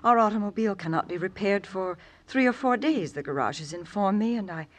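An actress playing a gentle, reserved exile's wife, polite and formal, in an old-time radio drama. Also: female voice, medium pitch, animated, fairly quick, close to an everyday voice.

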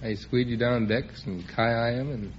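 A man's voice: mid-range voice, steady tone, measured pace. A man in his twenties with an American accent, casually answering as a quiz show contestant. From Los Angeles, United States. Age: 20s. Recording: dull old radio recording, faint noise.

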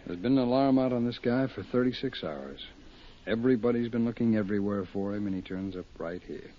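An actor playing a gruff, blunt, impatient male police detective in a 1950s radio drama. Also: fairly low pitch, fairly quick, a little hiss or hum, lightly acted. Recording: dull 1950s radio broadcast.

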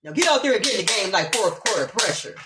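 A woman from Omaha, a laughter yoga teacher, chatting casually in an animated, slangy way. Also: mid-range voice, fast, heavy background noise. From Omaha, Nebraska. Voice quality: animated, slangy, spiritual talk with bursts of laughter. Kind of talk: casual chat.

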